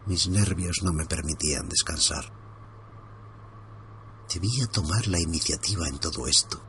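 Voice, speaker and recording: Deep-voiced man from reading a horror story. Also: normal speed, fairly bright tone, a little hiss or hum. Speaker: narrator.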